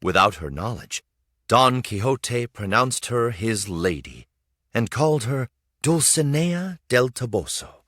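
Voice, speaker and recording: A man reading a children's story aloud as scripted narration, with expressive, dramatic storytelling emphasis. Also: mid-range voice, measured pace, no room sound, clean studio sound. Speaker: storybook reader.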